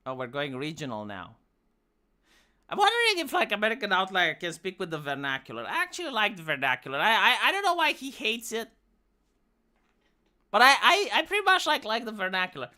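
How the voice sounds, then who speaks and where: A woman's voice: mid-range voice, wildly swooping pitch, a little fast, pushed and bright. A woman streamer with a Romanian accent, English not her first language, ranting profanely with many restarts. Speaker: live streamer. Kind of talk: ranting live-stream commentary.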